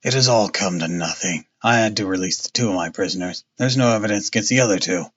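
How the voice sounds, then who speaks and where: A man voicing a gruff, blustering police detective who is easily impressed, in a storybook reading. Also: lowish voice, quick pace, a bit bright, lo-fi sound, pitched below the performer's own voice. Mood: deferring.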